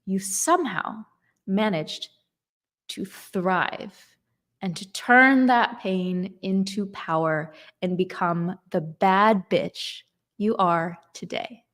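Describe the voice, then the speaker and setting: A woman giving a slow, emphatic motivational talk on manifestation and gratitude. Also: medium pitch, a bit bright. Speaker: manifestation coach.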